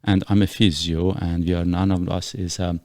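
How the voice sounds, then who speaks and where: Deep gravelly middle-aged man from Iran. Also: flat delivery, normal speed, darkish tone, no room sound, studio quality. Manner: earnest, rambling explanations with many fillers. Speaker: podcast host. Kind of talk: casual podcast chat.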